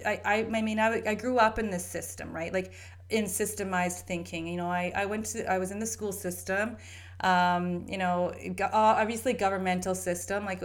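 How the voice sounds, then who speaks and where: Rambling woman podcaster, earnest and chatty. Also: medium pitch. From Canada. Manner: rambling, frequent restarts and fillers, earnest. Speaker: podcast host and holistic health practitioner. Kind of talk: casual solo podcast monologue.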